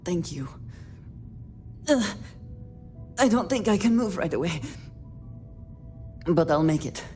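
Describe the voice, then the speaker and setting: An actress playing a determined, injured space explorer in a video game, strained and halting. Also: female voice, lowish voice, lively intonation, dark tone, soft background music. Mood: pained, determined.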